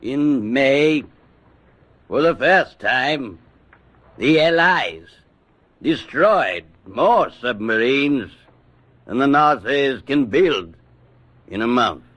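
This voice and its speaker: An actor playing a weighty wartime prime minister, giving a halting, oratorical public address in a radio drama. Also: male voice, medium pitch, expressive, fairly bright tone, heavily acted. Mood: proud, deliberate.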